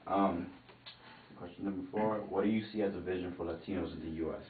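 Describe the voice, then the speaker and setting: A man's voice: deep voice, steady tone, quick pace, a little hiss or hum. A man interviewing a guest, reading out questions with brief affirmations. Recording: distant mic, echoey room, phone-like band.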